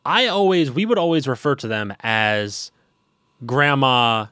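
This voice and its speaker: A young man chatting casually, with teasing, quick interjections. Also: mid-range voice, sing-song, pushed and bright, clear, resonant tone, no echo, clean studio sound. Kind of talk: casual chat.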